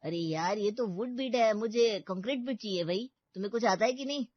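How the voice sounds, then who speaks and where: A woman's voice: mid-range voice, a little fast, no echo, highs cut off, close to an everyday voice. A woman voicing an impatient, irritated male hardware customer in a story cartoon.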